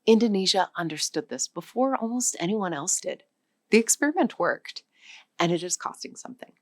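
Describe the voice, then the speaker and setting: A woman giving scripted educational narration in a measured, emphatic delivery. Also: medium pitch, expressive, no room sound.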